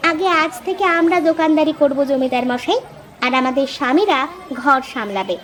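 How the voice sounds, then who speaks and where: A woman voicing a feisty, enterprising village wife in a cartoon, with an assertive, expressive manner. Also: sits high, fairly quick, some chatter behind. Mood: protesting. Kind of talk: Bengali moral-story cartoon acting.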